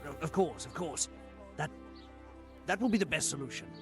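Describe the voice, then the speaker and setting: A man's voice: fairly high pitch, expressive, moderate pace, faint music behind, lightly acted. An actor playing a cowardly, hesitant henchman in a video game, stammering and pleading. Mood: evasive.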